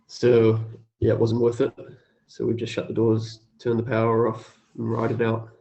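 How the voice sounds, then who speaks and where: Flat, creaky man, dull audio. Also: on the low side, moderate pace. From Australia. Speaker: interview guest, cafe owner. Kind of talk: casual, low-key chat and plain reading aloud.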